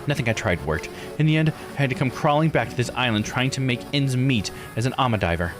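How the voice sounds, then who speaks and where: A man's voice: medium pitch, animated, fast, over music, natural voice. A man voicing a resentful, haughty young woman, a scorned noble-born island diver, reading visual novel dialogue bluntly. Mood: resigned, confiding. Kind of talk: visual novel character read-aloud.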